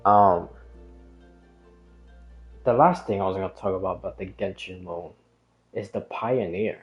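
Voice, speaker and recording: A man's voice: low-pitched, unhurried, lo-fi sound. A man chatting casually as a game streamer, rambling and hesitant, often trailing off and drifting onto tangents. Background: background music.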